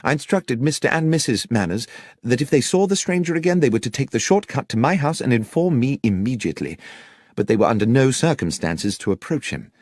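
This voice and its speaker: An earnest village vicar played by a male audiobook reader gives his account with formal precision. His voice moves expressively up and down at a middling pitch, in a very dry, close sound. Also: big pitch swings, a little fast, a little mellow, no echo. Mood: earnest, uneasy. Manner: formal, wordy, precise storytelling. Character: earnest village vicar recounting a theft to detectives. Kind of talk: audiobook character dialogue.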